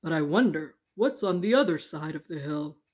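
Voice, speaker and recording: A woman's voice: sits low, expressive, moderate pace, darkish tone. A woman voicing a glum old storybook stone with a plodding, solemn manner. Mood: glum, wistful. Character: two old stones on a hill, glum and resigned. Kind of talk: children's storybook character voice.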